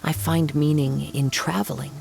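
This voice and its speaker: Young male samurai drifter in a game, calm n reflective, creaky voice, formal wording, music behind. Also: fairly high pitch. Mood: wistful, calm. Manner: measured, reflective, formal phrasing. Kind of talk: scripted video game acting.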